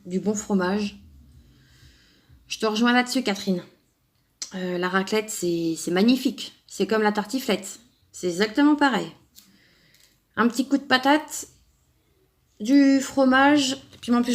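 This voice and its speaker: Young woman from France, craft vlogger, casual and rambling, mid-pitched voice. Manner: chatty, rambling, many fillers and restarts. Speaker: craft YouTuber answering a subscriber tag. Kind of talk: casual chat to camera.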